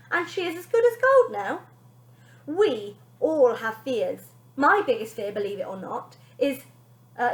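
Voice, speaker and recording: A woman's voice: fairly high pitch, echoey room. A woman voicing a smug, spiteful television nanny from a children's storybook, gushing with false sweetness and self-satisfaction.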